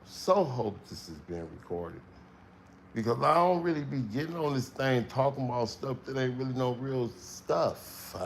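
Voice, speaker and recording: A middle-aged man with a strong, carrying voice, speaking slowly and emphatically in a casual livestream monologue with long pauses. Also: medium pitch, animated, slight background noise. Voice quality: voice that carries, strong tone. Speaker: livestream host.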